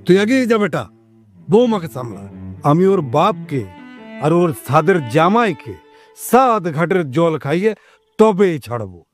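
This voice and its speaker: Boisterous comic dad for an audio drama male actor: middle-aged, boastful, protective, high-pitched and animated, music underneath. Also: a little slow, pauses often. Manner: theatrical, teasing, exclamatory with colloquial banter. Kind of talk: Bengali audio story acting.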